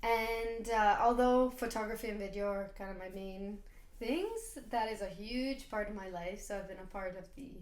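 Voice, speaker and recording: A woman who grew up in Italy, a photographer guest on a podcast, talking in a relaxed, thoughtful way with fillers. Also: medium pitch, moderate pace. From Reggio Emilia, Italy. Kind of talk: casual interview chat. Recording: roomy echo, faint room noise.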